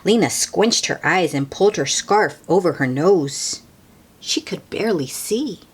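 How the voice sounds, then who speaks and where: Female storybook reader, husky and unhurried, expressive delivery that drops into hushed asides for young listeners. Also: lowish voice. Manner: slow, expressive, hushed at times, explains words simply. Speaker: children's book read-aloud host. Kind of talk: storybook read-aloud with asides to children.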